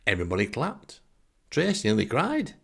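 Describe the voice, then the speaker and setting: A man's voice: medium pitch, huge pitch swings, moderate pace, vocal fry, a bit bright, husky. A middle-aged man with a Lancashire accent from northern England, hosting a podcast with a wry, self-deprecating, grumbling comic monologue. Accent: Northern English, Lancashire. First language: English. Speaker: podcast host. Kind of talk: comic first-person monologue.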